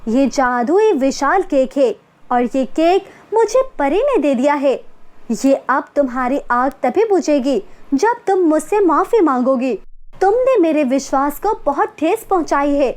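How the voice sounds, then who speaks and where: A poor, kind-hearted young college girl speaks in a very high, earnest storybook voice, as a woman puts her on. She pleads and feels everything deeply. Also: a little mellow. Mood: stern. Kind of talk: storybook character voice.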